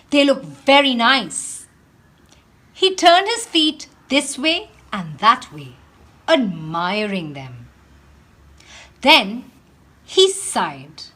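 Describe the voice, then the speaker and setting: A woman's voice: high-pitched, bright, forceful tone. A woman storyteller reading a children's storybook aloud in a theatrical manner, acting out the sighs and exclamations.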